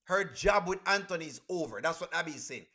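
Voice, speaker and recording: A man's voice: fairly high pitch, lively intonation, quick pace, sharp tone. A man with a Caribbean accent hosting a casual reaction livestream, talking to viewers and repeating his phrases for emphasis. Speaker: livestream host.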